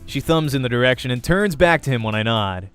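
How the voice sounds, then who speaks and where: Male let's play reader, mid-pitched, narrating visual novel prose with music underneath. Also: animated, brisk, fairly bright tone, smooth.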